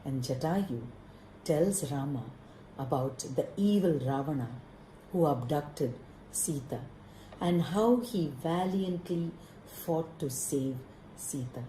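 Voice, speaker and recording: A woman narrating a puppet-show story in measured, short dramatic phrases. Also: low-pitched, animated, warm tone, slight background noise. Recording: roomy echo.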